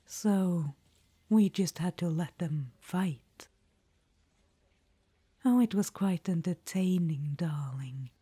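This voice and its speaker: A woman's voice: lowish voice, takes it slowly, long pauses, warm tone, no echo. A woman voicing an ancient, vain undead witch-queen in an audio roleplay, whispering and calling the listener darling. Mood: languid, conspiratorial. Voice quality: breathy whisper.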